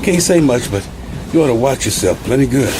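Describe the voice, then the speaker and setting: An actor playing a deferential, wary sleeping-car attendant in a radio drama, with folksy speech. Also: male voice, lively intonation, a little fast, close to an everyday voice. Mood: wary, warning. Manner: folksy, servile, colloquial speech. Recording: noisy radio drama, train rumble behind.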